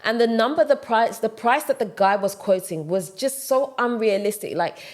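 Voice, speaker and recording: A woman's voice: a little high, expressive, moderate pace. A woman talking straight to camera in a casual advice monologue, direct and emphatic, repeating her points for stress. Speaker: vlogger giving advice.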